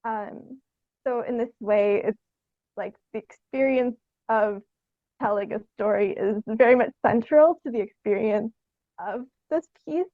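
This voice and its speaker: A woman's voice: fairly high pitch, unhurried, dry recording, phone-quality sound. A young woman answering interview questions in a hesitant, thoughtful, conversational way, often using "like" as filler. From Canada. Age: young adult. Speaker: podcast guest, theatre artist.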